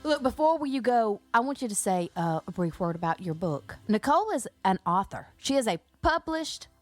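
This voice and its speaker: A woman's voice: medium pitch, sing-song, moderate pace, dry recording. Folksy woman radio host over music. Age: 40s. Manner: warm, laughing, rambling and folksy. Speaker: radio morning show host. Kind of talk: casual radio interview.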